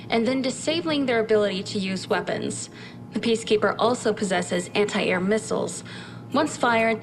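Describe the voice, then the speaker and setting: An actress playing a brisk, dry-witted military scientist, giving a mission briefing in a video game with a crisp, matter-of-fact delivery. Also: female voice, on the high side, lightly acted, low-quality recording. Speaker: military research officer briefing a commander.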